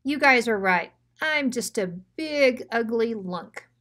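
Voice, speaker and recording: A woman's voice: fairly high pitch, lively intonation, takes it slowly, a bit bright. Woman playing big Rottweiler, storybook bully, blunt, emphatic, dramatic. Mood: mournful, self-pitying. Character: big ugly bullying male Rottweiler, gruff, then self-pitying.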